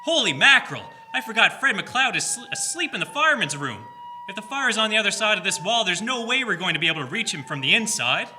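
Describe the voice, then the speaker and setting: A man acts as a dutiful small-town police constable in a radio drama. He is hearty and declamatory. The voice is piercingly bright and swings widely in pitch. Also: big pitch swings, fast talker, faint music behind, heavily acted. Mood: alarmed, urgent.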